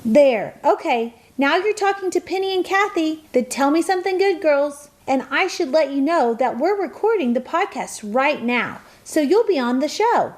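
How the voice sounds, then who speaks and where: High-voiced, slightly flustered mom. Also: female voice, expressive, moderate pace, few pauses. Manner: careful, hesitant, patient. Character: gentle, slightly flustered mother of two young girls. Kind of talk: radio play acting.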